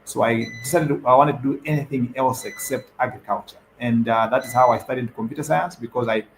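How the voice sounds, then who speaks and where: A man's voice: mid-range voice, brisk, a little hiss or hum, low-quality recording. A young man from Zambia answering as a guest on a panel, hesitant, repeating words and using many fillers. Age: young adult.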